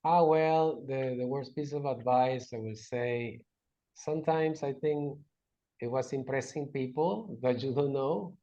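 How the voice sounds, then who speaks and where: Venezuelan man, halting non-native English. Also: mid-range voice, warm tone, highs cut off. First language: Spanish. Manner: hesitant, many fillers and restarts, non-native grammar. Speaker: guest. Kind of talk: interview.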